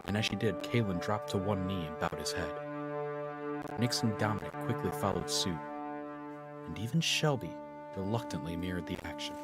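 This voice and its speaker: A man narrating a fantasy audio drama as a measured, scripted storyteller. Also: low voice. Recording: music bed under the voice. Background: loud musical score.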